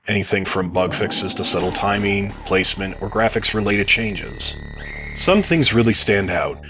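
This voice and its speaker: A man giving measured, explanatory interview answers as a game modder, sounding like he reads from prepared text. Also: fairly low pitch, fairly bright tone, slight background noise.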